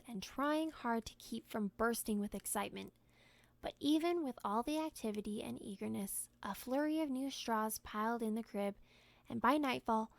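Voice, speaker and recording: A woman narrates a storybook aloud with expression. Her voice sits fairly high and has noticeable creak, and her manner is gentle and deliberate. The sound is close and very dry. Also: no room sound. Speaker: storybook reader.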